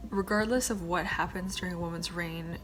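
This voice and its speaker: A woman in her twenties chatting casually to camera as a vlogger, with quiet muttered asides and a slightly stuffed-up nose. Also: fairly low pitch, fairly even intonation, a little fast, soft background music. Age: 20s. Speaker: vlogger, former pageant contestant.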